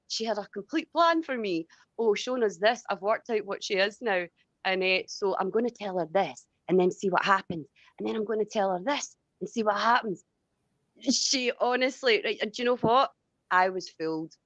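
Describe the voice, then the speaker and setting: A woman's voice: mid-range voice, animated, moderate pace, fairly bright tone, no room sound, highs cut off. A Scottish woman venting in a casual, blunt, rambling way with plenty of swearing. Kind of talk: casual heated venting chat.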